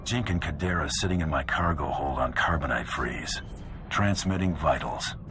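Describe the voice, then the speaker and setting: A man voicing a gruff, confident, terse bounty hunter in a video game. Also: a bass voice, moderate pace, noisy background. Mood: terse, cool. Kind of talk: dramatic video game dialogue. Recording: game music behind the voice.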